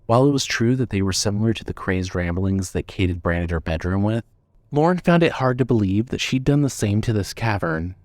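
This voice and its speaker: A man reads scripted fiction as narrator. His voice sits on the low side, with a measured, suspenseful delivery. The recording is dry and close. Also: lively intonation, darkish tone, no echo.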